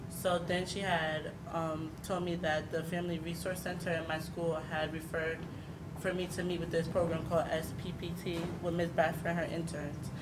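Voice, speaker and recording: A young American woman speaking candidly and informally as a panelist, haltingly, with lots of "like", into a handheld mic in a meeting hall. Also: fairly low pitch, level tone. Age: young adult. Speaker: panelist, young mother sharing experience. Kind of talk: candid personal testimony at a panel. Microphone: handheld microphone. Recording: handheld mic, noisy room.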